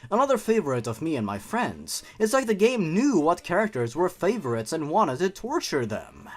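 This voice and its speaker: Male storyteller, fairly high voice, wildly expressive, theatrical with a sarcastic, mocking edge. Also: moderate pace. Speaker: host reading and mocking a fan horror story. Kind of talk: dramatic story reading with mocking asides.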